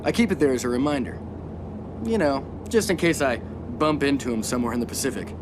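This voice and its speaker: An actor playing a determined navy captain with a dry humor, narrating game cutscenes. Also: male voice, mid-range voice, animated, fast talker, noisy background, close to an everyday voice.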